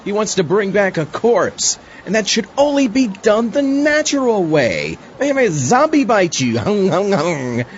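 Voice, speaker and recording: A man's voice: sits high, big pitch swings, measured pace, few pauses, muffled recording, a little hiss or hum. A man playing a wry, chatty zombie astronaut who hosts a comedic audio drama. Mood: wry hosting. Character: wry, cautious zombie astronaut sidekick and show host.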